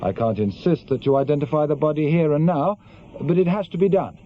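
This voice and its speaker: An actor playing a curt, commanding police chief inspector in a radio drama. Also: male voice, on the high side, brisk, close to an everyday voice. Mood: grave, measured. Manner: curt, probing, authoritative. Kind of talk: naturalistic radio drama acting. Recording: dull lo-fi radio recording, noisy.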